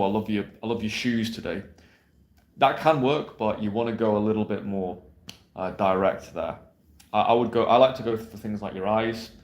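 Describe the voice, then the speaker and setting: Brash, low-voiced dating coach, roomy sound. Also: male voice. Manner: blunt, brash and emphatic, swears freely, often asks "yeah?". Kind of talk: direct-to-camera coaching monologue. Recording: roomy echo.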